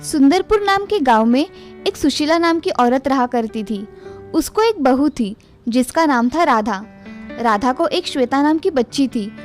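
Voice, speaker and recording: A woman's voice: high-pitched, lively intonation, a little slow, faint music behind. A woman narrating a scripted moral story for children.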